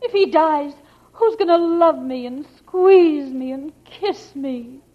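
An overwrought gangster's moll in a vintage radio comedy. Her voice is extremely high and melodramatic, wailing over her man. The old recording is dull, with some hiss. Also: takes it slowly. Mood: distraught. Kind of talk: 1940s radio comedy acting.

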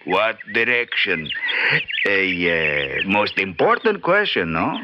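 An actor playing an eager, greedy Central American guide in an old radio drama, with Spanish-accented English and a halting, ingratiating way of haggling. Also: male voice, deep voice, sing-song, muffled recording, heavily acted. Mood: sly, ingratiating. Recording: band-limited old radio recording, faint noise.

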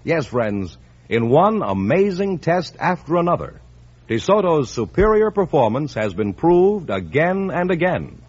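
A man's voice: a little high, very animated, measured pace, a little mellow, slightly rough, dull audio. An American man announcing in a polished, emphatic 1950s radio advertising style on a vintage recording.

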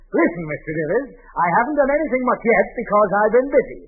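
A man's voice: high voice, sing-song, fast talker, a little hiss or hum. A man playing a blustery, demanding, exasperated boss with a pompous barking manner in 1940s radio comedy style. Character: blustery, demanding male boss, exasperated with his bumbling employee. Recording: tinny band-limited 1940s radio recording.

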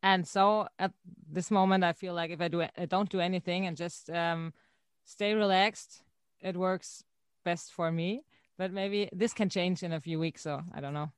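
A woman's voice: lowish voice, fairly even intonation, normal speed, smooth, dry recording, studio quality. A woman singer answering interview questions, hesitant and searching for words. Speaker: band singer.

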